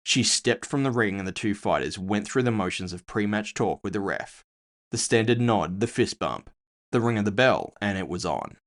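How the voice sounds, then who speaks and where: Low-voiced man narrating fiction, animated pitch. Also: moderate pace. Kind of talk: scripted first-person fiction narration.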